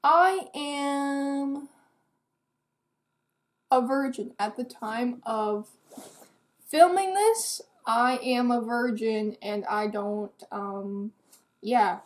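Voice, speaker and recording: A woman's voice: fairly high pitch, drawn-out words, long pauses. An eighteen-year-old woman giving a casual, rambling book review to camera in a candid, self-deprecating, hesitant way.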